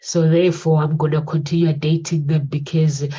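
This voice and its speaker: A woman's voice: deep voice, flat delivery, moderate pace, dark tone, slightly rough. A woman in her forties hosting a talk show, giving an earnest, reflective motivational monologue that circles back to repeat her key phrases. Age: 40s. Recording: dull lo-fi recording.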